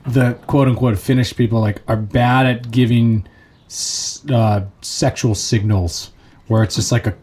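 A man's voice: medium pitch, steady tone, a little slow, darkish tone, slightly rough. Joking man in casual chat. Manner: teasing and joking, throws in wisecracks.

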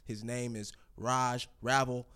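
A man's voice: mid-range voice, little pitch movement, slow, pushed and bright, clean tone. A man hosting a casual talk show, rambling through stories with lots of fillers and restarts. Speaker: podcast host. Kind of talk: casual chat.

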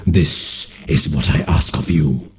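A man's voice: extremely low pitch, takes it slowly, husky, faint music behind, voice pushed down, full character voice. A man voicing an ancient, evil cosmic being that rules a realm of terror, speaking in a cold, commanding way.

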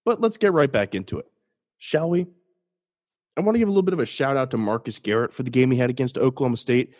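A man's voice: medium pitch, expressive, fast talker, darkish tone. Young male podcast host, stuffy-nosed, casual and jokey sports chat. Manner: casual, jokey, restarts and self-deprecating asides. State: congested, sniffly. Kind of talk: solo sports podcast commentary.

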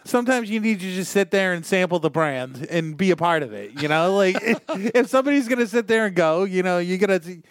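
High-pitched man chatting casually. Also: lively intonation, a little fast, few pauses, no echo, clean studio sound. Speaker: podcast co-host. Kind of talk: casual jokey chat.

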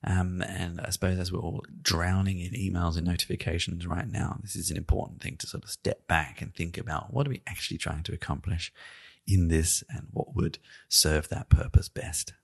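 A man's voice: sits low, fairly even intonation, moderate pace, creaky, husky. A man hosting a podcast in a conversational, musing and wry manner, often hedging as he goes. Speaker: podcast host and interviewer.

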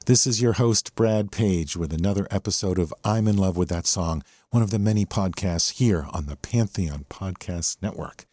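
A man's voice: low voice, moderate pace, hardly any pauses, darkish tone, dry recording, clean studio sound. An American man hosting a music podcast, talking through a song with enthusiasm. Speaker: podcast host. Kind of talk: music appreciation podcast commentary.

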